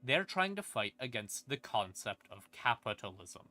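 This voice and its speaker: Male lore streamer, mid-pitched and sharp-edged, casual and sardonic, faint ambient music underneath. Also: sing-song, normal speed. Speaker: streamer explaining game lore. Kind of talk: casual, rambling lore explanation. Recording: music playing behind the voice. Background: creepy ambient music.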